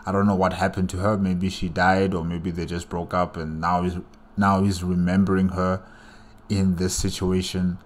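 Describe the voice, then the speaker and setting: A man's voice: sits low, level tone, normal speed. A man giving casual, rambling reaction commentary as a video host, hesitating as he thinks aloud.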